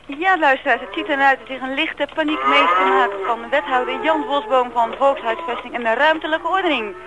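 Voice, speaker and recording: An actress playing an unflappable, dogged live radio reporter with formal, insistent delivery in a radio play. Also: female voice, sits high, brisk. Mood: urgent reporting. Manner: insistent, formal on-air commentary. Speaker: actor. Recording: very noisy, mooing cattle behind.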